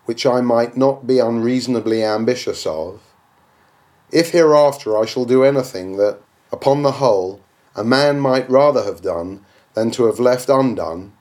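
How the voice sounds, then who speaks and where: A man's voice: mid-range voice, moderate pace, a little mellow. A man reading a classic novel aloud as dramatic narration, in a declamatory, oratorical manner. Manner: declamatory, oratorical, with rhetorical questions. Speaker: audiobook reader.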